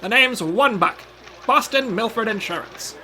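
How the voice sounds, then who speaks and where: An actor playing a wry American insurance investigator in an old-time radio detective drama. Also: male voice, high-pitched, animated, measured pace, sharp tone, a little hiss or hum, heavily acted, rough recording. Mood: brisk, inquiring. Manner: wry, quippy. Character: wisecracking 1940s-style insurance investigator, smooth with dames.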